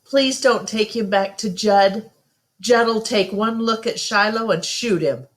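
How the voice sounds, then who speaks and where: A woman's voice: mid-range voice, slow, pitched above the performer's own voice, close to an everyday voice. A woman storyteller voicing an upset young boy who pleads over his hurt dog. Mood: pleading.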